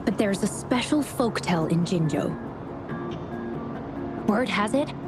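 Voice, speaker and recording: A woman's voice: mid-range voice, close to an everyday voice. An actress playing an upbeat, confident young woman who briefs someone on a mystery in a breezy, colloquial way in a video game. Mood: intrigued, storytelling. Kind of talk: video game story dialogue. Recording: music behind the voice.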